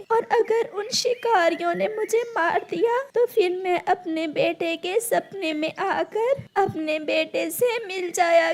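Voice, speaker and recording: Very high voice, slow, woman acting as a tender sorrowful mother bird, cartoon, imploring, faint noise. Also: full character voice. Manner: earnest, imploring, emotive. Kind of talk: Hindi children's cartoon voice acting.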